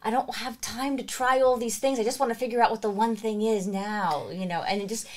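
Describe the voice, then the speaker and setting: A woman's voice: a little high, fairly even intonation, a little fast, darkish tone. A woman co-hosting an interview, chatting casually and quoting what other people say. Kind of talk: conversational interview chat.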